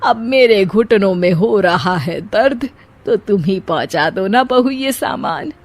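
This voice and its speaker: A woman acts an older cartoon mother-in-law, bossy and thrifty. She gives instructions slowly in a medium-pitched voice. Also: animated. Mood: instructing. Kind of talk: cartoon story acting.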